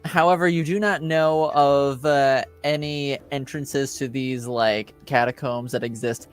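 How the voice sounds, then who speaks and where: A man running a tabletop game as game master, narrating conversationally and often pausing to repeat or rephrase himself. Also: mid-range voice, steady tone, clear, resonant tone, soft background music.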